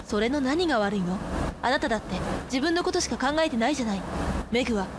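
A woman playing a sharp-tongued, protective teenage schoolgirl with an accusing, feminine way of speaking in a radio drama. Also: a little high, lively intonation, quick pace, natural voice. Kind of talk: anime-style radio drama acting. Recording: loud background noise.

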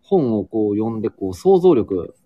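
A man talks casually in a lively, up-and-down voice, often pausing to hunt for words. The sound is dry and close, a little dull and lo-fi. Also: on the low side, dry recording. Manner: halting, searching for words. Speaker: acting student, guest in a group chat. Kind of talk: casual chat, reflecting.

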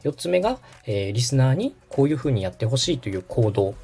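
A man's voice: mid-range voice, very animated, quick pace, mellow, slightly rough, faint music behind. A man hosting a podcast, interviewing and coaching a guest in a polite, instructive conversational manner. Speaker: podcast host, radio director coaching guest.

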